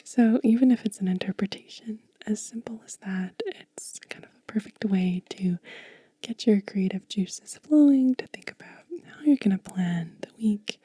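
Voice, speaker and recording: A woman hosting an ASMR video in a soft, breathy whisper, talking gently and unhurriedly, close to the microphone. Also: mid-range voice, moderate pace, no room sound. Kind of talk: soft-spoken whispered ASMR, show and tell.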